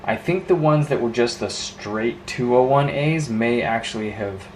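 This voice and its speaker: A man's voice: medium pitch, measured pace, darkish tone, a little hiss or hum, low-quality recording. A man giving an informal, rambling hands-on show-and-tell as a hobbyist, with an enthusiastic, marveling manner. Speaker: vintage radio hobbyist presenting a video.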